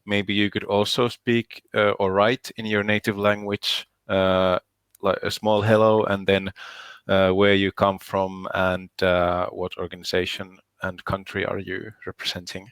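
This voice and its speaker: Finnish man, smooth low voice. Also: monotone, measured pace, fairly bright tone, no room sound. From Finland. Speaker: panelist. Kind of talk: online panel introduction.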